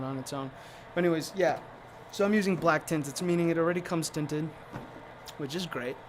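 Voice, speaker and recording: A man with a raspy, low voice from allergies, talking casually and rambling to viewers as he demonstrates mold casting. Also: noisy background. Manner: rambling, with many fillers and restarts. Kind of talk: casual livestream talk to viewers.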